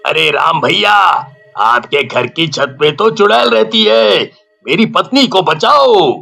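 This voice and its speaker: A worried husband in a spooky cartoon, voiced by a woman, with a gravelly edge and an urgent tone. He speaks slowly, his pitch moves widely, and faint music plays behind him. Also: big pitch swings, a bit bright, exaggerated voice. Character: worried grown man, a husband.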